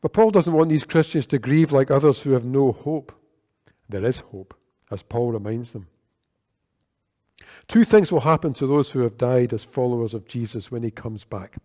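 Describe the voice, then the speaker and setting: An older man delivers a sermon at a church lectern, earnest and measured in his delivery. His voice is mellow and dark, and a long reverb trails off the sanctuary walls behind each phrase. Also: medium pitch. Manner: measured, earnest preaching with gestures. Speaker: worship leader. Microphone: lectern microphone.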